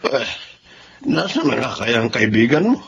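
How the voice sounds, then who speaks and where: A man's voice: medium pitch, expressive, moderate pace, gravelly, lo-fi sound, noisy background. A man with a Filipino accent playing a kindly, concerned older father in a melodramatic radio drama. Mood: fatherly concern.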